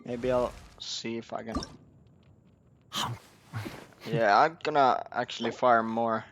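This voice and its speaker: A man's voice: mid-range voice, fairly even intonation, moderate pace, a bit bright. A man giving casual, unscripted gameplay commentary, half muttering to himself as he plays. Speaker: gaming streamer playing Genshin Impact. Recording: noticeable background noise.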